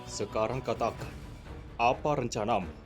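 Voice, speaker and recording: A man's voice: medium pitch, steady tone, moderate pace, faint music behind, natural voice. A man playing a confident, skeptical leader who commands his men in a comic dub. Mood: amused, skeptical. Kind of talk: Indonesian comic dub acting.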